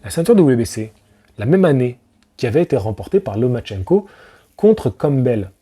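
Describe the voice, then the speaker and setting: A man's voice: medium pitch, very animated, moderate pace, warm tone, husky. A man hosting a casual boxing analysis, talking straight to the viewer with slangy asides and rhetorical questions. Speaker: boxing channel host.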